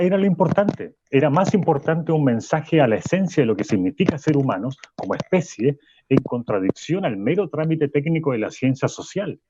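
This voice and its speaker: An actor playing a weary, earnest professor interviewed in a radio drama, speaking haltingly and repeating words. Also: male voice, fairly high pitch, lo-fi sound, noisy background. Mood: insistent, troubled. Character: weary, regretful male professor, earnest and troubled. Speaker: interview guest.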